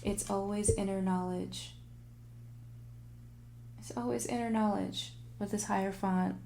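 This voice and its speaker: A woman hosting a tarot livestream in casual, animated, informal talk, thinking aloud and repeating phrases for emphasis, her voice turning a little raspy. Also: medium pitch, measured pace. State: voice getting raspy. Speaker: tarot reader and livestream host.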